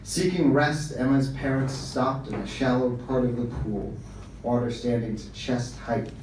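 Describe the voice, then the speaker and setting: A man reading a short story aloud to a live audience, his pitch sitting right in the middle, calm and measured. The room is very echoey and he is picked up from some distance, with a faint hum of the venue underneath. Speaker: story reader. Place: bar event room, indoors.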